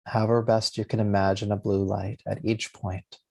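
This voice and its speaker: Male meditation guide: low, very soft-edged relaxed tone, gentle and soothing, short unhurried phrases. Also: gravelly. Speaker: yoga nidra teacher.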